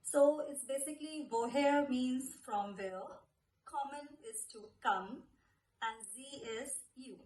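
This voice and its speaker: A woman's voice: sits high, takes it slowly, darkish tone, roomy sound, a little hiss or hum, low-quality recording. A woman from India, explaining German phrases step by step as a language teacher, patient and peppered with fillers.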